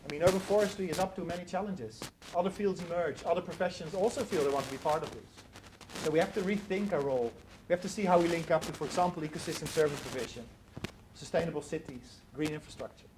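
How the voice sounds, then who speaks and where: A middle-aged man giving an academic lecture and answering audience questions, earnest and often restarting words as he searches for the phrase. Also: fairly high pitch. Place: lecture hall. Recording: noisy lecture recording.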